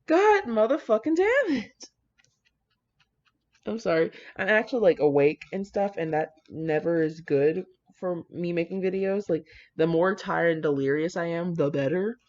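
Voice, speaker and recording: A woman with a fairly low voice, recorded in muffled lo-fi sound. She talks casually as a video creator reacting to fan fiction, with a brash, slangy, theatrical delivery. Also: animated, unhurried, darkish tone. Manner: brash, profane, slangy, exclamatory, dramatic. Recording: dull lo-fi audio.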